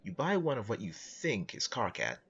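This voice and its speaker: Low, animated male narrator, slightly dull sound. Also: normal speed, gravelly, a little hiss or hum. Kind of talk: scripted comic narration in second person.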